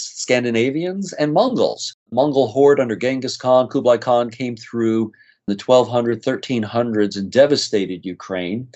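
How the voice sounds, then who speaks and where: A middle-aged man with a slight American accent, a nurse practitioner guest telling stories in a relaxed interview with frequent "uh" fillers. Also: mid-range voice. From United States. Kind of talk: conversational interview storytelling.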